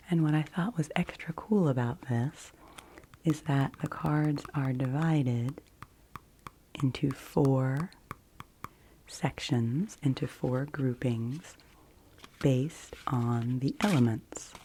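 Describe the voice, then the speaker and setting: Very low, hushed ASMR woman. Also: a little hiss or hum. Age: 40s. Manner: hushed, gentle, unhurried. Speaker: ASMR host. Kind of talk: soft ASMR card reading, partly whispered.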